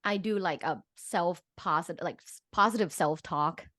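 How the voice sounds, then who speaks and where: A woman's voice: lowish voice, a little slow, a bit bright, no echo, studio quality. A woman from Thailand speaking as an expert guest in a casual interview, informal and peppering her speech with "like". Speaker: guest, professor and sexologist.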